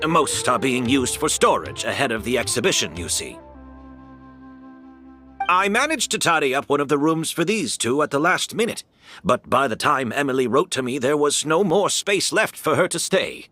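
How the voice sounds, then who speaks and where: An actor voicing a formal, apologetic middle-aged hotel owner in a game. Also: male voice, medium pitch, very animated, moderate pace, bright, forceful tone, soft background music.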